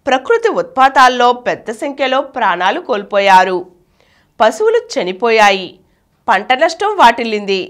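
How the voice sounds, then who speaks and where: A woman reading a scripted news article aloud as a narrator, in a formal, measured manner. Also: on the high side, fairly bright tone.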